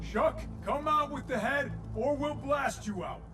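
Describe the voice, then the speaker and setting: A man's voice: extremely high pitch, measured pace. A man playing a cocky, wisecracking pilot hero in a video game, casual and sardonic. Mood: threatening. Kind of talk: video game cutscene acting.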